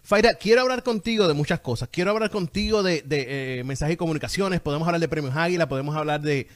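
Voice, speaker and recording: Guy hosting an interview, kinda high voice, effusive, says things twice for emphasis. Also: fast talker. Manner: effusive, repeats phrases for emphasis. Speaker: interview show host.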